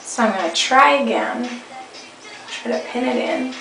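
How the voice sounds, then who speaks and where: A young woman with a slightly hoarse voice, chatting casually through a hair tutorial with rambling fillers, recorded on a webcam at home. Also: a little high, unhurried. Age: young adult. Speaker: beauty vlogger. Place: indoor room at home. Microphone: webcam at arm's length. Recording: echoey room, faint music behind.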